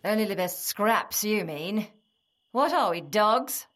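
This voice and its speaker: An actress in an audio drama playing a bossy, scornful, nagging medieval wife with an imperious manner. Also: female voice, medium pitch, animated, slow, a bit bright. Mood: scolding. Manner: imperious, scolding.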